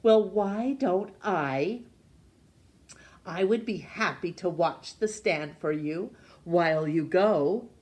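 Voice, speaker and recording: A woman voicing a lonely, courteous storybook gentleman who speaks with polite, earnest wonder. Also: on the low side, slow pace. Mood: polite, friendly. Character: shy, lonely man turning cheerful and courteous. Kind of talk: storybook character voice.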